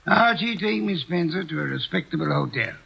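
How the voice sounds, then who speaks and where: An actor playing a haughty, pompous detective in a 1940s radio drama, dry and sardonic. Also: male voice, a little high, animated, brisk, gravelly, husky, exaggerated voice. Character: haughty pompous male detective, fussy and imperious. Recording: dull lo-fi old radio recording.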